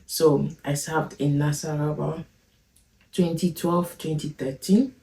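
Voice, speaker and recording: Low-voiced Nigerian woman, echoey room. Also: fairly even intonation, takes it slowly, creaky, dark tone, distant mic. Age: 30s. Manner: chatty, laughs often. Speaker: vlogger. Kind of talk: casual chat.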